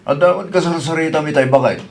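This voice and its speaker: An old husband acted by a man in an Ilocano radio drama. He sounds inquisitive and low-key as he questions in an ordinary male pitch. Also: fast talker, gravelly, a little hiss or hum.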